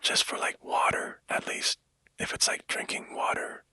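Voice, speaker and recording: A man speaking in a breathy, unvoiced whisper, rambling casually and hesitantly in a soft ASMR-like monologue. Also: normal speed, no room sound.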